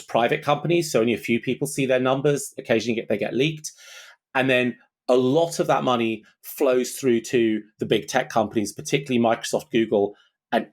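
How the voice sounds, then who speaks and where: A man's voice: medium pitch, slightly rough. A man speaking as an expert guest in an interview, explaining his thinking aloud step by step in a conversational way. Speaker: expert guest, technology analyst.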